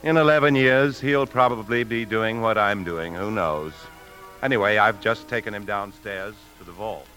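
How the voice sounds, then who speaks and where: An actor playing a weary, tempted bank manager who narrates in a measured, introspective way in a 1950s radio drama. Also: male voice, on the low side, pushed and bright, faint music behind, rough recording. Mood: brooding, matter-of-fact. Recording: 1950s radio broadcast recording.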